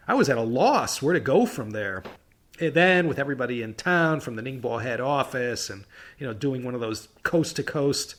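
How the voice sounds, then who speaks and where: A man's voice: mid-range voice, a bit bright. A man hosting a history podcast, telling the story in a casual, chatty way with wry asides and stressed words.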